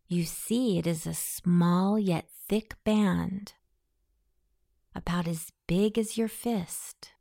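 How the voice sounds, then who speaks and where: A woman guiding a scripted hypnosis meditation in a soothing, softly breathy delivery. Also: on the low side, steady tone, takes it slowly, dry recording, studio quality.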